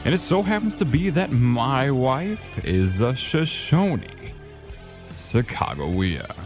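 Highly animated, slower man narrating over guitar. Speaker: feature producer and narrator. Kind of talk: scripted radio feature narration. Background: plucked guitar music.

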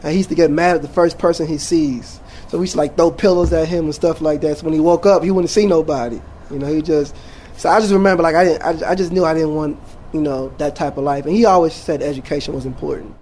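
Man, 40s, from Jamaica, teacher, casual rambling talk, slightly high voice. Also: quick pace, slight background noise. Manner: relaxed, rambling with many fillers and restarts. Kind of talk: casual interview.

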